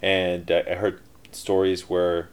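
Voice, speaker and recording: Deep-voiced middle-aged man, rambling. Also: completely flat delivery, slow pace, smooth. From Canada. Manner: rambling, many fillers and restarts. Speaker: interview guest, entrepreneur. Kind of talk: casual interview storytelling.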